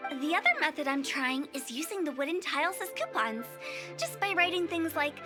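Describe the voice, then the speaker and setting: Cheeky young female funeral director for anime game: extremely high, salesy, theatrical, over music. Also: lively intonation, brisk. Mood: proud, persuasive. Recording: game audio with music behind.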